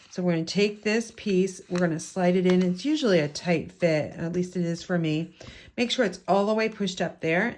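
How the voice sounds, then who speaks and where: A woman with a raspy voice from a lingering head cold, from the United States, chatting through a step-by-step card-making tutorial in her craft room at home. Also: fairly low pitch, darkish tone.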